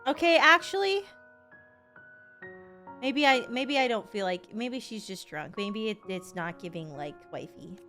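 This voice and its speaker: Female game streamer, higher voice, playful and candid, swears freely, chatty reaction style, faint music behind. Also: animated, a little slow, sharp tone. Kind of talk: casual live commentary.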